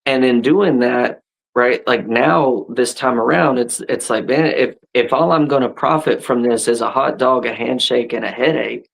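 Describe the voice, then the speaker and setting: A man in his thirties talks shop in an easygoing, conversational way, often restarting his sentences and checking in with "right?" His pitch sits in an ordinary middle range, neither deep nor high. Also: normal speed, creaky, low-quality recording. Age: 30s. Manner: laid-back, frequent restarts and "right?" tags. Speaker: podcast host and wrestler. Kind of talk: casual chat.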